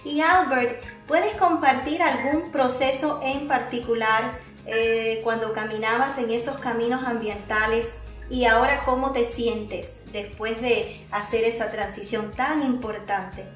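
High-voiced, unhurried woman hosting an interview. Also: fairly even intonation. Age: middle-aged. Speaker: radio show host. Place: small indoor set with curtains. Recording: roomy sound with music behind.

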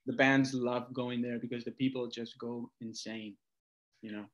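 A man's voice: mid-range voice, flat delivery, normal speed, lo-fi sound. A man from Argentina, a musician being interviewed, chatting informally and telling his story with plenty of conversational asides. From Argentina, Buenos Aires. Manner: informal, talks in stories, often says "like" and "you know". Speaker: guest musician, singer-songwriter. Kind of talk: casual interview chat.

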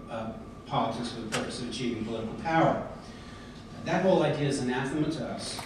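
A middle-aged American man giving an earnest prepared speech at a podium, often pausing on fillers and restarts. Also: medium pitch. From United States. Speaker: convention speaker. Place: large hotel conference hall, indoors. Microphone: podium mic, filmed by a far camera. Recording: echoey hall from far off, background noise.

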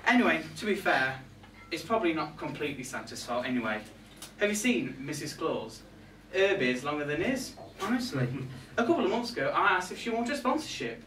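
A man's voice: a little high, sing-song, brisk, few pauses, fairly bright tone, far from the mic. A young man playing a catty, resentful reindeer in a comic monologue, indignant and gossipy, with arch asides to a live audience. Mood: sarcastic, gossipy. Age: young adult. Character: catty, resentful male reindeer grumbling about Santa's crew. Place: small pub room with a live audience. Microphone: far camera. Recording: echoey room from afar, background noise.

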